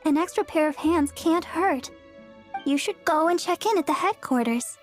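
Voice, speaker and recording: A woman's voice: very high voice, expressive, normal speed, faint music behind. A woman voicing a kind young healer who gets flustered and stammers, for a game. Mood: coaxing. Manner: stammers and talks around things when caught out. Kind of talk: game voice acting.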